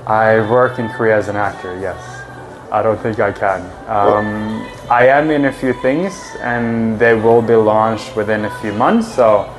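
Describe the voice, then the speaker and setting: A man from Norway, a native Norwegian speaker, giving easygoing, earnest interview answers as an actor. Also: mid-range voice. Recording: roomy, distant mic, music underneath.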